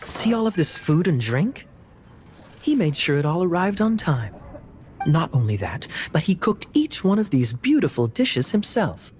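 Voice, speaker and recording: Casting: confident, friendly game knight, smooth and theatrical, very lively intonation, faint music. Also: male voice, a little high, a little slow. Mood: playful. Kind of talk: video game voice acting.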